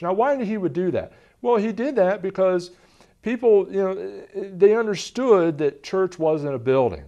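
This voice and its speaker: A man's voice: sits high, dark tone. A man in his forties teaching a Bible lesson from a lectern in a television studio, earnest and gesturing as he reads passages aloud.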